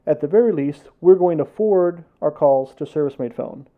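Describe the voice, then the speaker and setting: Higher-pitched American man narrating an explainer. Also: fairly quick, narrow, tinny audio. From United States. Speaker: presenter for a tech consultancy.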